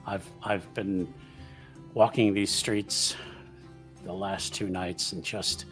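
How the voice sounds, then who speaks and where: A man voicing a weary homeless drifter in a tabletop game, speaking haltingly. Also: deep voice, level tone, fairly bright tone, soft background music. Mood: weary, pleading. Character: weary homeless male drifter pleading for rest.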